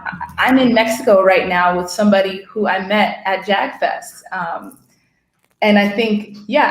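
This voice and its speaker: A woman writer talking casually in a discussion, reflective and searching for her words. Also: medium pitch. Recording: roomy, echoing room sound.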